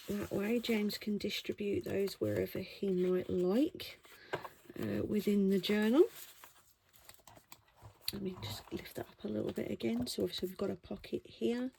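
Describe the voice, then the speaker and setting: A woman getting over a cold, croaky, rambling casually with fillers and self-corrections as she shows a handmade journal. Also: medium pitch, dark tone, a little hiss or hum. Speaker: crafter showing her handmade journal. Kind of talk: casual show-and-tell narration.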